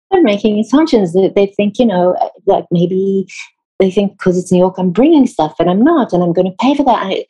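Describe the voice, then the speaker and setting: A woman from Israel who works as a theatre designer answers interview questions in a thoughtful, measured way, pausing and correcting herself as she goes. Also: medium pitch, animated, warm tone, husky, rough recording.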